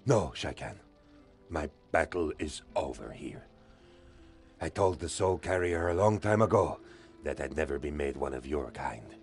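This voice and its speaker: Low-voiced, dying commander. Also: male voice, expressive, faint music behind, full character voice. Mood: dying, resigned. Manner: laboured, halting. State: mortally wounded, gasping. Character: dying male war commander, proud and resigned. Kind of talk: fantasy video game voice acting.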